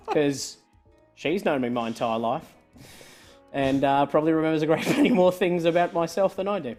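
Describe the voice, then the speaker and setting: A man's voice: on the high side, very animated, moderate pace, long pauses, soft background music. A man born in Scotland hosting a live online quiz, joking with his co-host and laughing often. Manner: jokey, laughing often, trailing off quietly.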